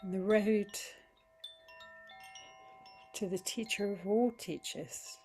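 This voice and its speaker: Woman doing a really slow soothing guided meditation, chimey music clearly behind her. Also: medium pitch, steady tone, a little mellow. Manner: soothing, unhurried pauses between short phrases. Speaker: yoga nidra guide. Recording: voice over chime music bed.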